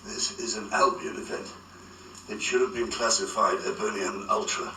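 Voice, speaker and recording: A man's voice: fairly low pitch, fairly even intonation, normal speed, bright, forceful tone. A male actor playing a stern, exasperated intelligence chief held captive, in a radio drama. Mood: terse, exasperated. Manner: terse, stern. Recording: roomy echo, slightly distant, faint noise.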